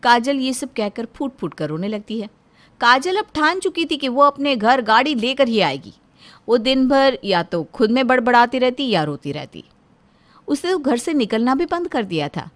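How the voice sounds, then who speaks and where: Female narrator, fairly high voice, scripted storytelling for a moral tale. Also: sing-song, normal speed.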